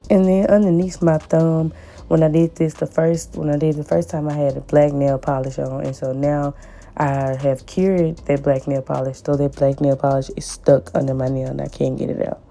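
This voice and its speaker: A woman with a hoarse, raspy voice from the flu, chatting casually as she narrates a nail tutorial and repeats words for emphasis. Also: flat delivery, moderate pace. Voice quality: raspy and deep. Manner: repeats words for emphasis, warm pleading asides. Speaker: nail tech vlogger.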